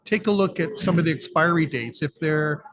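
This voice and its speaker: A hoarse Canadian man co-hosting a radio talk show, his voice worn and slightly rough. He talks in an easygoing, hesitant way, and his pitch sits a little high for a man. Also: normal speed, fairly bright tone. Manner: easygoing, hesitant, many fillers. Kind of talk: casual live radio chat.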